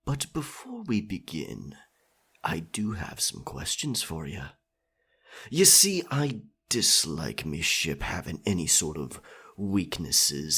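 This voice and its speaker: A sadistic pirate captain in an ASMR roleplay. His voice is deep and rough-edged, and he drags his words out very slowly. He taunts and menaces in salty pirate slang. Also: a bit bright, exaggerated voice.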